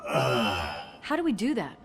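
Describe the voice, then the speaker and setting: An actress playing a worried, earnest ally in a video game, speaking with urgent concern. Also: female voice, low voice, wildly swooping pitch, slow pace, faint music behind, heavily acted. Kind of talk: video game cutscene acting.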